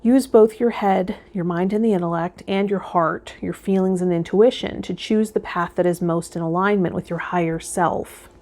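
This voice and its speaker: A woman hosting a tarot channel, talking and reading guidance aloud in a measured, instructional way. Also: fairly low pitch, steady tone, darkish tone. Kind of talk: vlog talk with reading aloud from tarot guide.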